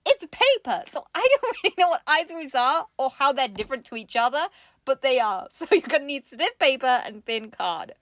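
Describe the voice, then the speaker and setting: A woman's voice: high-pitched, big pitch swings, a little fast, fairly bright tone, no echo, studio quality. A woman co-hosting a craft show in casual comedic chat, giggly and playfully sarcastic as she presents.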